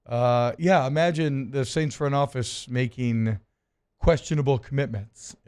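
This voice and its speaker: A man hosting a sports radio talk show in a studio, animated and casual, with lots of restarts and the odd curse. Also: mid-range voice, a little slow, clean tone. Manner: animated, swearing, rambling with restarts.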